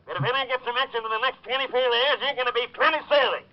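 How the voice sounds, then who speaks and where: A man's voice: extremely high pitch, rapid-fire. A man playing an angry, scolding police commissioner squawking over a telephone in an old radio drama. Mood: angry, demanding. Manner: scolding, demanding, relentless. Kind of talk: 1940s-50s radio comedy-drama acting. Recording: dull, lo-fi old radio recording.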